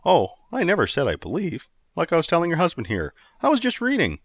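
An exasperated young guy with a dry, impatient, sarcastic way of talking, read by a man close to his own voice. The pitch swings a lot, and the sound is close and dry. It is an everyday storybook lead annoyed by his visitors. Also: huge pitch swings, fairly quick, dry recording, exaggerated voice.

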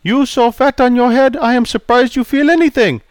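A man's voice: mid-range voice, huge pitch swings, unhurried, dry recording. A man voicing a prickly older male barber who speaks in clipped, broken English. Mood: gruff.

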